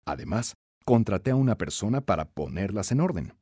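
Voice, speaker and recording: A man narrating a scripted self-help audiobook in an emphatic, instructive, expressive way. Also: lowish voice, fairly quick, slightly rough, no echo, studio quality.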